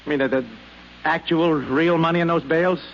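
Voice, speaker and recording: A man's voice: fairly high pitch, fairly even intonation, a little fast, lightly acted. Man playing a flustered, stammering everyman, muffled recording. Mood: frantic. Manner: stammering, hesitant, exasperated. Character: flustered, hapless ordinary male robot owner. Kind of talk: 1950s radio drama acting. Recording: muffled, noisy old radio recording.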